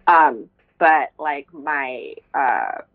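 A woman's voice: sits low, expressive, drawn-out words. A poet in a casual interview, a woman from Indonesia, talking reflectively with many fillers and frequent laughs. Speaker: poet, guest. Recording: tinny phone-like line.

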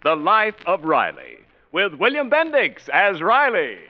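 A man's voice: sits high, wildly swooping pitch, unhurried, heavy background noise. A man announcing in 1940s radio style, reading scripted promotion and narration in an emphatic, polished way. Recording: dull vintage radio broadcast over music. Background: music.

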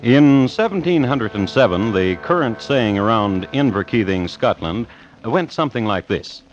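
A man plays a wry connoisseur of crime narrating a vintage radio show. His fairly low voice is measured yet richly inflected. The recording is dull and dated. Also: animated, faint music behind, lightly acted. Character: dry, deliberate male crime connoisseur telling murder tales. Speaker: host and narrator. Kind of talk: scripted old-time radio narration.

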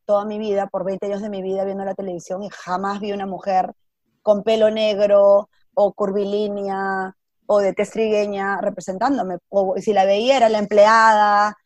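A woman's voice: medium pitch, little pitch movement, normal speed, no room sound. A woman from Peru with a Peruvian accent, chatting casually and telling personal stories, slipping in English words and acting out what people said. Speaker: interview guest.

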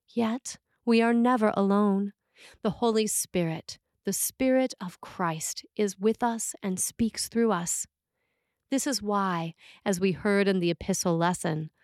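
A woman's voice: mid-range voice, no room sound. Woman reading a sermon, close dry sound. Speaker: preacher reading a written sermon.

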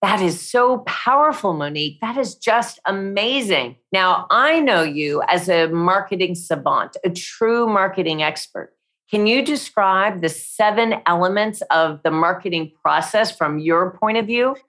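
A woman hosting an interview, reading a polished, effusive introduction at a measured pace. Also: mid-range voice, animated, fairly bright tone. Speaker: host.

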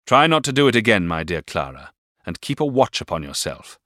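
A man's voice: low voice, sing-song, brisk, a bit bright, no room sound, studio quality. A man reading a cold, stern stepfather. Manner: grave, clipped, commanding. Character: stern cold middle-aged stepfather, domineering. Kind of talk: audiobook reading of a classic novel.

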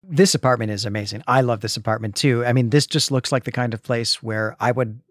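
A man's voice: mid-range voice, fairly quick, no room sound. A middle-aged man talks as a podcast co-host in a very dry recording. His commentary is casual and enthusiastic, and he often punctuates it with short questioning tags. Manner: frequent "right?" tags, enthusiastic. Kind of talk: casual conversational commentary on comics.

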